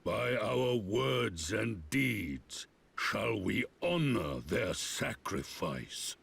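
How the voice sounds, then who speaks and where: A gravelly, very slow warrior chief. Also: male voice, fairly low pitch, sing-song, a bit bright, exaggerated voice. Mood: solemn. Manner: slow, stately, formal declamation. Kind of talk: video game voice acting.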